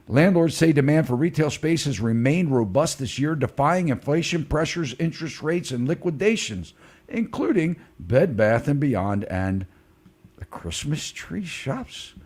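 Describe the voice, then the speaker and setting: Man, Baltimore accent, lively swooping pitch, husky voice, casual live stream host. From Baltimore, USA. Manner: animated, joking, says "man" often.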